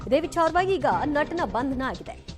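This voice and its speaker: A woman's voice: high-pitched, expressive, measured pace, music in the background. A woman news anchor, presenting live news.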